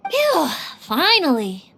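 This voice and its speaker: A woman voicing a perky, inquisitive young woman in a video game, friendly and expressive. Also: very high-pitched, slow, creaky, slight background noise, full character voice. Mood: cheerful.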